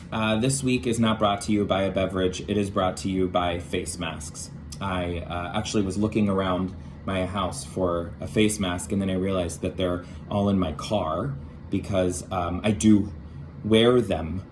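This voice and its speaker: A man's voice: low voice, fairly even intonation, moderate pace, slight background noise. A man casually hosting a comedic reading series, with dry, wry humor. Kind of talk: casual intro to a dramatic reading.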